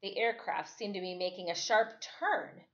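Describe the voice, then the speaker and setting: Female storyteller for children's fiction read-aloud: medium pitch, dramatic, measured phrasing, muffled low-fidelity recording. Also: fairly bright tone. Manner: dramatic, deliberate phrasing with acted-out exclamations. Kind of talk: expressive first-person story read-aloud. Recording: dull lo-fi recording.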